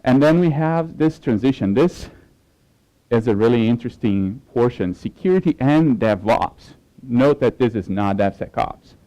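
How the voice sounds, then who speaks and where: A man whose first language is Portuguese gives a technical conference talk in a relaxed way, with many fillers and restarts. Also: medium pitch, normal speed, low-quality recording. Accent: Portuguese-speaking. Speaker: conference speaker.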